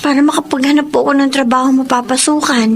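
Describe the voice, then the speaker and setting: A woman's voice: sits high, little pitch movement, fast talker, a little hiss or hum. A woman playing a dutiful, respectful young granddaughter in an emotive Filipino radio drama, speaking politely with a Filipino accent. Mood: earnest, pleading.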